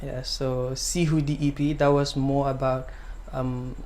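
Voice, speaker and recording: Soft-spoken young Gambian man, flat voice. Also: medium pitch, a little slow, slight background noise. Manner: soft-spoken, hesitant with many ums. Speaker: guest singer-songwriter. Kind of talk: casual interview answers.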